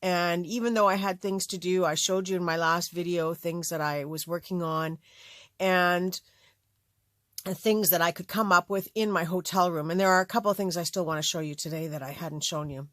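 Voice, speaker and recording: Slightly raspy middle-aged woman chatting. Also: lowish voice, steady tone, moderate pace, fairly bright tone, no echo, clean studio sound. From Canada. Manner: rambling, many fillers and restarts. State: slightly raspy voice after illness. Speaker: crafting channel host. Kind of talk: casual chat to camera. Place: home craft studio.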